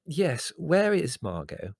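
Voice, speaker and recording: A man's voice: on the high side, huge pitch swings, takes it slowly, a little mellow, slightly rough, dry recording, studio quality, voice pushed up, full character voice. A man voicing a bewildered, easily alarmed mother in a storybook, plaintive and questioning.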